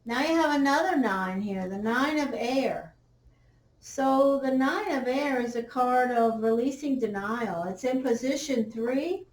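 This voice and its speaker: Casting: tarot reader, woman, raised pitch, slightly hoarse, halting with fillers, roomy sound off the mic. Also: distant mic. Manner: slow, halting, many fillers. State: slightly losing her voice. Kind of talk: unhurried tarot reading. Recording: roomy, off-mic, faint ambience.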